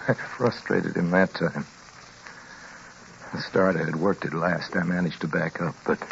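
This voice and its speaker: An actor playing a haunted, anxious American motorist, intense and dramatic, in old-time radio drama style. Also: male voice, rumbling low, creaky, a little hiss or hum, heavily acted. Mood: grim, shaken. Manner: intense, dramatic, halting when addressing others. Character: haunted, anxious male motorist telling his story. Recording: muffled vintage radio recording, loud music.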